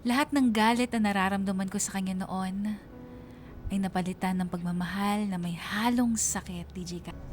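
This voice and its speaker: A woman's voice: mid-range voice, fairly even intonation, moderate pace, soft background music, natural voice. A woman playing a heartbroken young woman, narrating her love story earnestly in a radio drama. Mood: wistful, emotional. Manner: earnest, sentimental.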